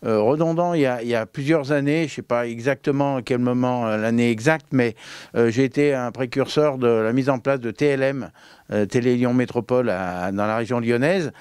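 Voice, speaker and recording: A man speaking as a local community council president in a news interview, halting with frequent fillers and restarts. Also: medium pitch, darkish tone, clean tone, no echo, clean studio sound. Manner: hesitant, many fillers and restarts. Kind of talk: on-camera interview statement.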